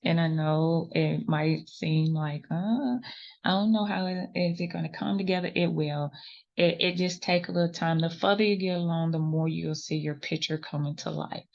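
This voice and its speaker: A woman's voice: deep voice, steady tone, moderate pace, creaky, a little mellow, lo-fi sound. A woman hosting a crochet tutorial, talking patiently and reassuringly, counting stitches aloud, a little sniffly from a runny nose. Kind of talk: step-by-step crafting instruction.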